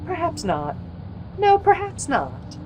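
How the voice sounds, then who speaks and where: A woman's voice: very high voice, wildly swooping pitch, slow, background noise, full character voice. An actress playing a dreamy young romantic woman in old-time radio drama style. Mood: coy, dreamy. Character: dreamy romantic young woman, secretly a company sales sample.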